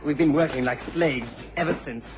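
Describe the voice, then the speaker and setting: A man's voice: a little high, fairly quick, natural voice. A man playing an emphatic, plain-spoken village neighbour in a radio drama. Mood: weary, obliging. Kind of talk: BBC radio drama acting. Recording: dull old radio recording.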